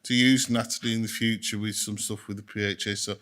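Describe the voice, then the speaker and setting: A man with a bright, forceful tone introduces the next speaker at a conference. He stumbles and repeats words often while keeping a friendly chairing manner. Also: lowish voice, flat delivery, brisk.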